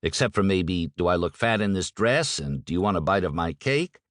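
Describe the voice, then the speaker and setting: A man's voice: low voice, sing-song, moderate pace, no echo, clean studio sound. A man reading a first-person audiobook in a wry, conversational manner. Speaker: audiobook narrator.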